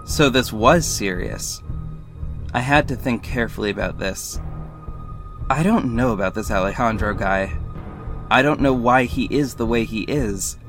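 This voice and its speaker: A man plays a sassy, defiant audio drama hero with a medium-pitched voice. He tells his own story in a dramatic, pointed way. Soft music runs underneath. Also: measured pace, close to an everyday voice. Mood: measured suspense. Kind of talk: first-person audio drama narration and dialogue.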